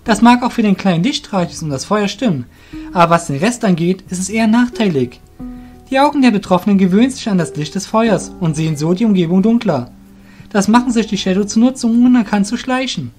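A man voicing an anxious, alert young male adventurer in an audio drama, excitable and urgent. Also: sits high, brisk, faint music behind, voice pushed up. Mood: explaining, keen.

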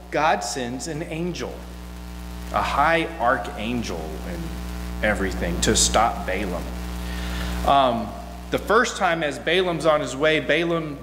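A middle-aged man giving an informal, story-driven sermon from a church pulpit in a folksy, conversational manner. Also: a little high. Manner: folksy, conversational, animated asides. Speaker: guest preacher. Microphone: gooseneck pulpit microphone. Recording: echoey hall, long reverb, mains hum.

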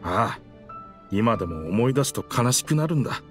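Man voicing a highly expressive wistful boat spirit. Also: normal speed, faint music behind, natural voice. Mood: resolute sadness. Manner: rough sailor phrasing, expressive, sighing. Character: stranded talking old boat, hearty, wistful male sailor spirit. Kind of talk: Japanese anime game acting.

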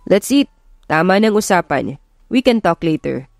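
A woman's voice: fairly low pitch, big pitch swings, a little slow, vocal fry, no echo, studio quality, heavily acted. A woman voicing a stern, skeptical father of a grown son, commanding and terse, mixing English into Tagalog. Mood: firm, accepting.

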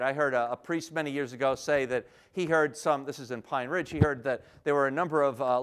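Middle-aged guy lecturing a crowd in a hall, chatty, keeps saying "right?", a bit high-voiced. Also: fairly bright tone. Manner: conversational, frequent "right?" and "I mean". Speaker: history lecturer. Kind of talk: public history lecture. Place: auditorium with seated audience.

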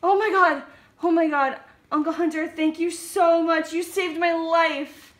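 A woman's voice: very high voice, fairly even intonation, measured pace, roomy sound, a little hiss or hum. A woman voicing a flippant, dramatic teenage girl in a puppet show, with an exaggerated, whiny delivery.

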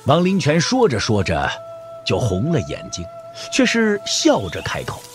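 A man's voice: mid-range voice, huge pitch swings, measured pace. A man narrating a dramatic scripted audio drama as storyteller, over music.

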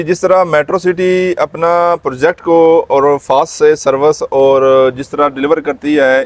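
A man with a Pakistani accent answering courteously as a business owner in an informal interview. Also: fairly high pitch. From Gujar Khan, Pakistan. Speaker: cafe owner being interviewed. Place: outdoors in front of a small cafe storefront. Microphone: lapel mic.

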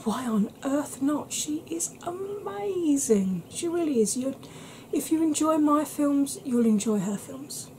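A woman in her thirties chatting to camera at home in a soft ASMR style, rambling and often dropping into whispers. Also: high voice, expressive, takes it slowly, hardly any pauses, a little hiss or hum. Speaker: beauty vlogger. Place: small cluttered room at home.

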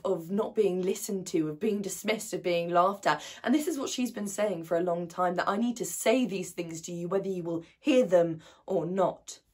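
Husky, impassioned young woman, played by an actress. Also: on the low side, a little mellow. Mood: hurt, accusing. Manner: colloquial, emotional, intense. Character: outspoken, wounded 21-year-old woman, idealistic and bitter. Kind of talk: dramatic stage monologue.

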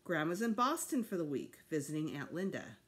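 Woman reader as a practical, calm mother. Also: deep voice, brisk. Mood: matter-of-fact. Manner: even-tempered, practical. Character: calm, matter-of-fact mother.

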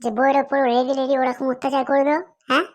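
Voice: sits high, level tone, quick pace, lightly acted. An actor voicing an indignant, opinionated friend in a comedy cartoon. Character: indignant, opinionated friend who scolds and persuades.

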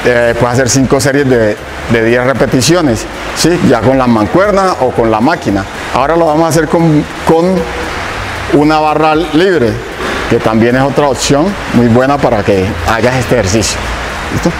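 A man's voice: mid-range voice, normal speed. A man in his thirties with a Colombian accent, a fitness trainer explaining a workout in an indoor gym, repeating key points and checking in with his viewers. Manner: instructive, repeats himself, checks in with "¿sí?". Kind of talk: workout tutorial. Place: indoor gym, large room. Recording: noisy gym room.

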